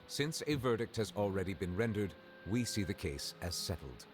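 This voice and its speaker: A man voicing a stern chief justice in a video game, formal, restrained and deliberate. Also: low voice, big pitch swings. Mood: firm, formal. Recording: game music behind.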